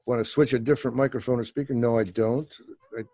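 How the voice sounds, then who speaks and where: A man in his fifties with a low voice, a guest actor chatting casually, rambling and joking with plenty of swearing, on a video call with a desk mic at home. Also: steady tone, fast talker, warm tone, dry recording, studio quality. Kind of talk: casual interview chat. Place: home room. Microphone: desk microphone on video call.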